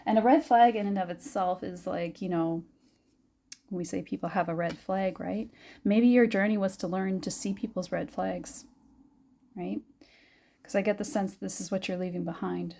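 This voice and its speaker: A young adult woman giving a gentle, musing tarot reading, her voice a little raspy and scratchy, often laughing softly. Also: mid-range voice, steady tone, moderate pace. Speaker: tarot reader. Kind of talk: soft-spoken tarot reading.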